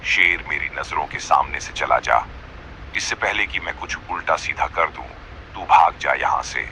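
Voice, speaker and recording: Man voicing a very deep, indignant cartoon bear. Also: normal speed, pushed and bright, a little hiss or hum, full character voice. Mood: bitter, scolding. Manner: scolding, defiant. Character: indignant, bitter male bear, a wronged father.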